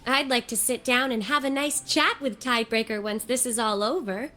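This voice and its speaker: A gentle, earnest young scholar from a fantasy game. Her voice sits high, and she speaks in measured, articulate, softly formal phrases over background music. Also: fairly even intonation. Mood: hopeful, resolved. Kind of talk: video game character dialogue.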